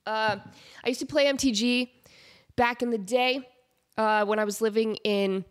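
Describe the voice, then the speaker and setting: A woman's voice: fairly high pitch, fairly even intonation, normal speed, clean tone. A woman in her thirties who hosts a podcast, chatting casually with a stuffed-up, nasal voice, laughing often and acting out little quotes. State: stuffed-up nose. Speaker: podcast host. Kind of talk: casual chat.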